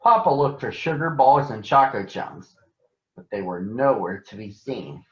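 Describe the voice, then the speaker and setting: Man, children's storybook narrator, unhurried pace, expressive, roomy slightly muffled recording. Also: mid-range voice. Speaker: storybook reader for children on a recurring show. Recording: echoey room, slightly dull sound.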